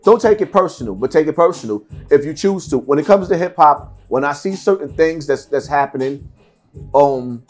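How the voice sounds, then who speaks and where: A man's voice: a little high, expressive, moderate pace, a little mellow, soft background music. A middle-aged man giving blunt, emphatic interview answers, repeating phrases for stress. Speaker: podcast guest. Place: seated at table before branded backdrop, indoors.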